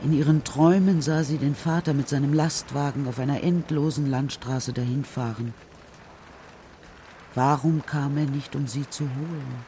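A narrator reading a children's radio drama story in a measured, serious, literary style. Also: medium pitch, fairly even intonation, dark tone, slight background noise.

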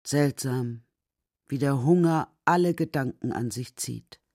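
A woman narrating a children's radio play in a measured, dramatic storytelling manner. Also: extremely low pitch, a little mellow, no room sound, clean studio sound. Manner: measured, dramatic literary reading.

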